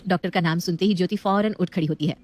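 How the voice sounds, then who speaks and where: A woman's voice: fairly low pitch, quick pace, a little mellow. A woman storyteller narrating a scripted family tale.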